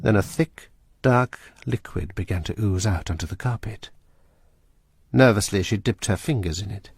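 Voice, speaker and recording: A male narrator telling a radio drama in a measured, suspenseful way. His low, husky voice moves expressively through the story, recorded dry and close. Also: dry recording.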